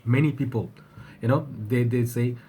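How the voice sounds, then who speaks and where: A man with a flu-husky voice, talking casually to his viewers and often rambling and restarting. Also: mid-range voice, steady tone, moderate pace, dark tone, a little hiss or hum. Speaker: fragrance reviewer. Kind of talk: casual vlog talk to camera.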